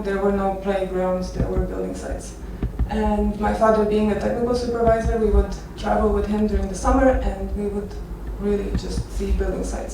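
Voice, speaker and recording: Woman presenting in a very echoey room. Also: medium pitch. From Romania. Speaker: architecture photographer giving a conference talk. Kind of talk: informal presentation with personal stories. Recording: echoey noisy venue, distant microphone.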